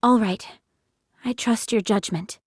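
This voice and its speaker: A woman's voice: a little high, unhurried, no echo, studio quality. A woman playing a caring, decisive android squad leader in a game, with a direct, commanding manner. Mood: measured. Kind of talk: anime-style game voice acting.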